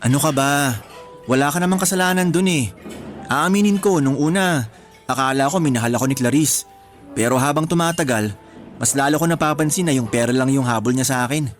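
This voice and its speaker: An actor playing a gentle, sincere young man in love in a Filipino radio romance, earnest and lightly teasing. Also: medium pitch, a little fast, lightly acted. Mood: earnest, reflective. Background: music.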